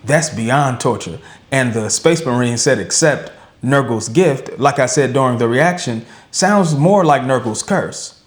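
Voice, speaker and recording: A man with a medium-pitched voice co-hosts a reaction show. He gives conversational commentary, laying out his thoughts in a measured, orderly manner.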